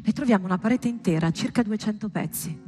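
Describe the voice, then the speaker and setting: A woman performing a scripted theatrical monologue, storytelling with deliberate pauses and emphatic, dramatic delivery. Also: mid-range voice, over music. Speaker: stage performer.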